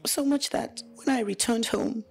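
A woman narrating in first person as a troubled wife, soft and often whispering. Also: on the high side, normal speed, gravelly, slightly rough, faint music behind. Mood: rueful. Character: remorseful young wife telling her story.

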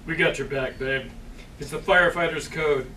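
A man's voice: fairly high pitch, fairly even intonation, normal speed, sharp tone. A man in a comedy table read playing a laid-back, reassuring firefighter boyfriend. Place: small theatre stage, seated before an audience. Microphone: far camera. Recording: very noisy, distant stage recording.

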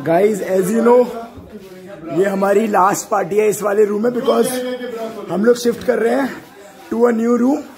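A young man with a hoarse, worn-out voice talks casually to the camera in a fairly high pitch. He switches easily into English phrases. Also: steady tone, unhurried, long pauses, music in the background. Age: young adult. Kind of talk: casual vlog chat.

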